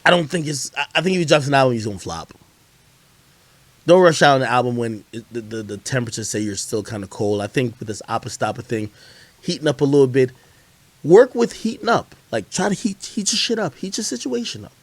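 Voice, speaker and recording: A man's voice: mid-range voice, animated, brisk, dry recording. Man chatting casually on a livestream. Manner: slangy, profane, repeats phrases and restarts sentences. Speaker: live streamer and hip-hop commentator. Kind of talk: casual livestream chat answering viewer questions.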